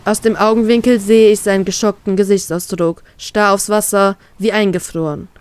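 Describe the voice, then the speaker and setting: A woman reading her own fan fiction aloud in an expressive, emotional way. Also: mid-range voice, moderate pace, clean tone. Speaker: fan fiction author and reader.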